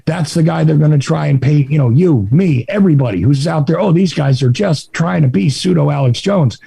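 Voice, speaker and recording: A man from New Jersey with a Jersey accent speaks in casual, sardonic podcast chat. His voice is raspy and husky, with a gravelly edge and a mellow, dark tone. The sound is dry and close. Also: fairly high pitch, fairly quick, no room sound. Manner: sardonic, rambling storyteller. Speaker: podcast guest.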